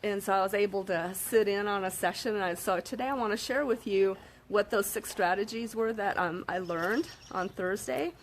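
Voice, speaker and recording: A woman with a slightly hoarse voice, giving a casual motivational talk to camera and checking in with her listeners often. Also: mid-range voice, moderate pace, a little hiss or hum. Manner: upbeat, frequent "okay?" check-ins and fillers. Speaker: self-help vlogger.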